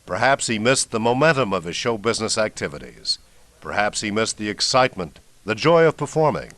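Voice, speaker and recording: American radio narrator with lively, swooping delivery. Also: male voice, on the low side, brisk, no room sound. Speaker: narrator and host of a radio documentary. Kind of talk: scripted radio documentary narration.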